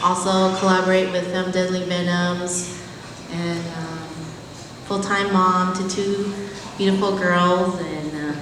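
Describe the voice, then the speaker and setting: Woman DJ, lowish voice, distant noisy reverberant recording. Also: little pitch movement, slow. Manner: friendly, listing credits. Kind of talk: panel self-introduction. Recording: echoey hall from far off with noise.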